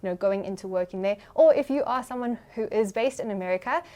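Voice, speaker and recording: A woman's voice: mid-range voice, fast, a little mellow. A South African woman hosting a business podcast interview, upbeat and chatty with casual fillers. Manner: upbeat, chatty, uses fillers like "you know". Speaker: podcast host.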